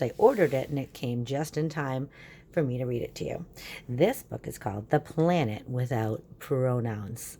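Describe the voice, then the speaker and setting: Lady teacher reading to kids, low mellow voice, asks questions as she goes. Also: slight background noise. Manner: instructive, asks questions of young listeners. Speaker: teacher reading a picture book aloud. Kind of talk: children's lesson and storybook read-aloud.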